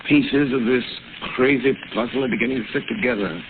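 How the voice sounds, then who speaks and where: An actor playing a calm, reflective gentleman detective working out a crime in a vintage radio drama. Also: medium pitch, lightly acted. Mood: thoughtful. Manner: measured, reflective. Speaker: amateur detective. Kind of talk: 1940s radio acting. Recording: lo-fi old radio broadcast, hiss.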